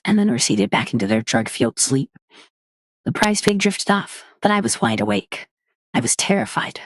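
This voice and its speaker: Female horror narrator, animated delivery, dry close recording. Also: no echo. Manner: measured storytelling, drops low and quiet for tense moments. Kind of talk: dramatic reading of a horror story.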